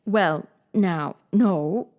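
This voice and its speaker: Shy old male farmer, halting and uneasy, as a woman narrator puts him on. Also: fairly low pitch, darkish tone. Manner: hesitant, uneasy.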